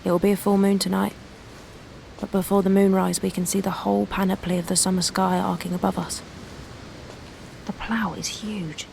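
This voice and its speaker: An actress playing an observant, wonder-struck city woman in an audio drama, describing things in a hushed way. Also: mid-range voice, flat delivery, normal speed, creaky, long pauses, slight background noise, poor audio. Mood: awed, hushed. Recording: music behind the voice.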